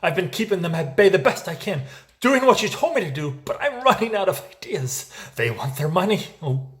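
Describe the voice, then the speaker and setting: A man's voice: high voice, sing-song, a little fast, fairly bright tone. An actor playing a desperate, nervous, hard-drinking family man in a stage drama read, anxious and deferential. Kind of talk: musical table read, dramatic stage acting.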